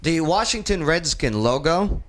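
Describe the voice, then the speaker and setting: Male talk host, 40, animated and joking, slightly high pitch with big swings. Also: a little slow, a crowd in the background. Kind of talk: casual panel chat.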